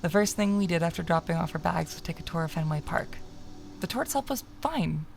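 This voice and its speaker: A woman streamer reading a visual novel aloud as narration, expressive, sometimes stumbling and correcting herself. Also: on the low side, brisk, creaky, slight background noise.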